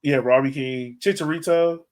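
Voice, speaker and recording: A man hosting a casual sports podcast, talking informally and thinking out loud as he goes. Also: fairly high pitch, moderate pace. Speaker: podcast host.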